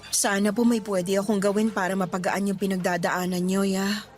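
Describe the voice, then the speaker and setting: An actress playing a devoted, affectionate foster daughter in a Tagalog radio drama, polite and emotional. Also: female voice, medium pitch, level tone, normal speed, darkish tone, close to an everyday voice. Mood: apologetic, concerned. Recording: clear background music under the dialogue.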